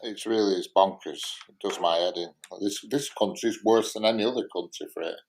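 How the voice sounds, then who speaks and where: A man's voice: lowish voice, brisk, a little mellow, a little hiss or hum. A man with a Lancashire accent chatting casually and bluntly about his opinions, emphatic and often repeating himself. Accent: Lancashire English. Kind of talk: casual opinionated live-stream chat.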